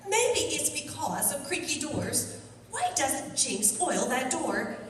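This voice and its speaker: A woman's voice: high-pitched, very animated, takes it slowly, over a noisy crowd, heavily acted. A woman playing a chatty, giggly church choir lady in an amateur radio comedy, rambling and exclaiming. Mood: chatty, amused. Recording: echoey hall, distant mic, faint noise.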